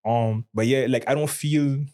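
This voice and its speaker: A man's voice: medium pitch, steady tone, measured pace, dark tone. A man from Saint Martin with a Caribbean accent, chatting casually and reflectively in informal slang as a podcast co-host. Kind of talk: casual conversation.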